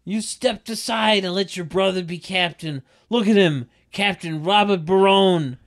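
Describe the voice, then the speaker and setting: A table reader acts out a boastful older sitcom father. He is loud and hearty, pitched up high, and barks his words in emphatic repeats. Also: unhurried, close to an everyday voice. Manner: hearty, barking, emphatic repetitions. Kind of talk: comedic sitcom table read.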